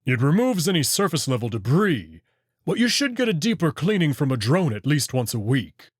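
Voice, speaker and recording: A man's voice: on the high side, big pitch swings, a little fast, slightly rough, no echo, clean studio sound. A man voicing an earnest, polite male wolf. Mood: explanatory. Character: friendly, earnest young male anthropomorphic wolf. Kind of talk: visual novel character reading.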